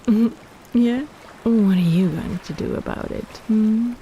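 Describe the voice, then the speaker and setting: A woman's voice: medium pitch, slow, dark tone, a little hiss or hum. A woman playing a possessive, teasing kraken in a roleplay audio drama, cooing and bossing like a doting big sister. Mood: smug, teasing.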